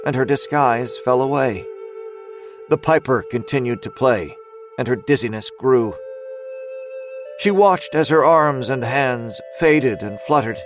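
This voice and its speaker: A man narrating a scripted dramatic story as its storyteller, in a measured, suspenseful manner. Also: mid-range voice, natural voice.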